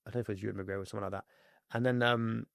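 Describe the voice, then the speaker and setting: Fast-talking man with a gravelly voice. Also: fairly low pitch, dry recording, studio quality. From France. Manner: fast, animated storytelling with many fillers. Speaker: podcast guest. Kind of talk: casual interview chat.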